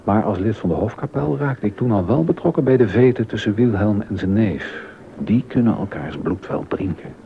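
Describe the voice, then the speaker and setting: A low-voiced, aggrieved baroque composer. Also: male voice, expressive, moderate pace. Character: proud, aggrieved German baroque composer and court organist. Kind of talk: Dutch docudrama dialogue. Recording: dull sound with background noise.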